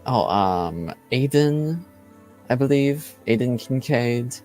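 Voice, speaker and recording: A man's voice: medium pitch, lively intonation, takes it slowly, a little mellow. A man plays a courteous, serious fantasy teacher who is wary of intrigue. He speaks formally and measuredly in a mid-range voice, with faint music behind. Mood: uncertain.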